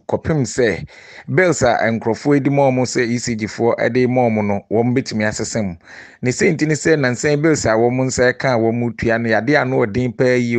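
A Ghanaian man delivers a scripted news report as a voice-over. His pitch sits in the middle of the male range. The recording is close and dry, with very little room sound. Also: dry recording.

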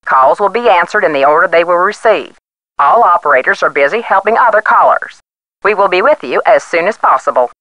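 A woman's voice: lowish voice, animated, brisk, fairly bright tone, no echo, poor audio. A woman playing an impersonal police hotline announcer reading a scripted hold message in a game. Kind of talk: scripted automated phone message.

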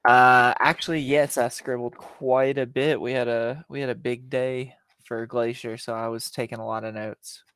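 A man's voice: medium pitch, flat delivery, moderate pace, few pauses, fairly bright tone, clean tone, no room sound. A man in his thirties co-hosting a podcast over a video call on a headset mic, bantering in an offhand, joking way. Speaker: podcast co-host. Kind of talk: casual chat. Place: home room on a video call.

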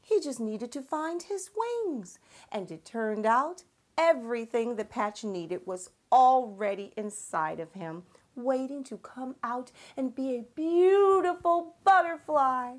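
A middle-aged woman hosting a children's read-aloud, with expressive, emphatic and encouraging storytelling. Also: high-pitched, takes it slowly.

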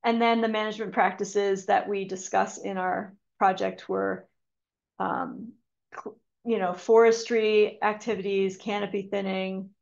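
A woman's voice: medium pitch, little pitch movement, measured pace, vocal fry, darkish tone. A woman giving a conservation webinar talk over a video call, speaking hesitantly with many ums and restarts. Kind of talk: webinar lecture with slides. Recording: dull lo-fi video call audio.

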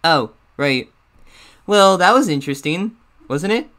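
A man's voice: fairly high pitch, animated, a little slow, pushed and bright. A man voicing an eager, deferential cartoon shadow bear sidekick in hesitant, improvised banter. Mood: curious, amused. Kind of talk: improvised character reaction banter.

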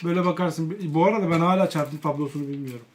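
Young woman vlogger, low voice, casual and teasing chat with a child, faint noise and clicks behind. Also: racing, a little mellow. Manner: teasing, quizzing. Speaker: family vlogger showing school supplies.

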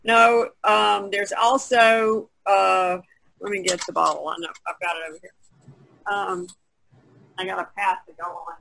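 A woman's voice: medium pitch, fairly even intonation, unhurried, creaky, a bit bright, a little hiss or hum, low-quality recording. A woman in casual conversation on an online call, explaining things as an artist taking part in a podcast. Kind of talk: casual chat, explaining a technique. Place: online video conference call, indoors. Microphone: video call.

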